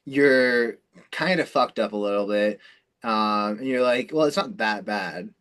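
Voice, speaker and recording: A man's voice: on the low side, a little slow, a bit bright, smooth. A man hosting a self-improvement call, explaining things off the cuff in a rambling, informal way with frequent swearing. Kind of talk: casual, unscripted explanation on a call.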